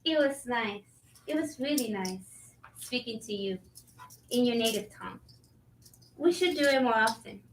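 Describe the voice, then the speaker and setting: A woman acting a weary, determined working immigrant in a staged play reading, measured and resolute. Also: fairly high pitch, expressive, a little hiss or hum. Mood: friendly. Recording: roomy echo, distant mic.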